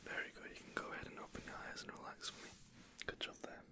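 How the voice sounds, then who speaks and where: An ASMR performer role-playing a medical examiner, whispering gentle instructions and reassuring praise. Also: quick pace.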